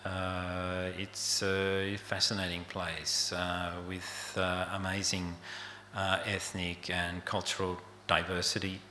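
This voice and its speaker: An older man from Australia talks his way through a slide show, pausing on fillers and letting phrases trail off. His voice is low and even, with a bright edge. The sound rings in a roomy hall with faint noise behind it. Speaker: photographer giving an artist talk. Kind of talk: unscripted slide talk.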